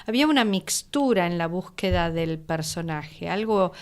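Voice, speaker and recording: Clear-voiced Argentine woman in conversation. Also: fairly low pitch, expressive, moderate pace. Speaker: radio drama discussion panelist. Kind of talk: conversational panel discussion.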